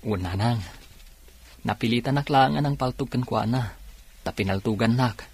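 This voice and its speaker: Radio drama wounded policeman, dutiful son: Ilocano accent, medium pitch, a little husky, earnest. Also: normal speed, darkish tone.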